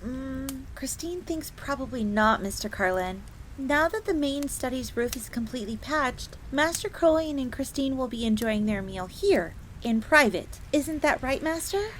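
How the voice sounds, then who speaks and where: A woman's voice: high-pitched, animated, normal speed, a bit bright, a little hiss or hum, low-quality recording. A woman playing a devoted, easily flustered young bunny maid in a roleplay audio drama, speaking of herself in the third person. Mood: cheerful, eager.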